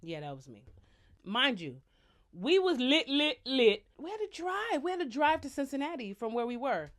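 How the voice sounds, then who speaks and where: Woman from the US, casual vlog storytelling, slangy, lively, slightly high voice. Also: normal speed. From United States. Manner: lively, chatty, lots of slang and asides to viewers. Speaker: vlogger, singer.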